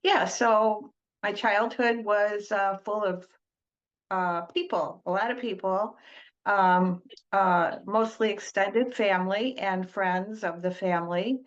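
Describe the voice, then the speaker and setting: An older woman from Detroit, a guest in an interview, talking in a slow, halting way with many fillers and restarts. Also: mid-range voice. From Detroit, United States. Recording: dull lo-fi audio.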